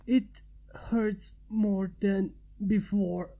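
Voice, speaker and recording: Woman playing a weary, halting wounded man. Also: medium pitch, steady tone, gravelly, dark tone. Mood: pained, fading. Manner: weak, halting, courteous. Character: weary wounded man, polite stranger mage.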